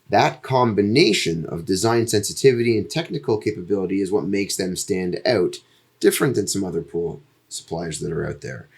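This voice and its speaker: Male ad read, low-ish, husky, steady scripted. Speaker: podcast ad reader. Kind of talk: read sponsor message.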